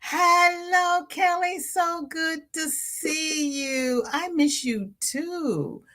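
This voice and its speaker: A woman hosting a crochet livestream, chatting casually and reading viewer comments. She sounds stuffy-nosed and greets viewers by name with high, bright lifts in her voice. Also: extremely slow. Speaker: crochet channel host.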